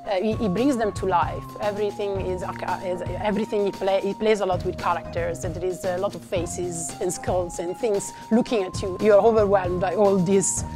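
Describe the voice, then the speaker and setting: A woman's voice: mid-range voice, steady tone, over music. Enthusiastic woman. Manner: enthusiastic, halting. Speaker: studio assistant. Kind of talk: documentary interview.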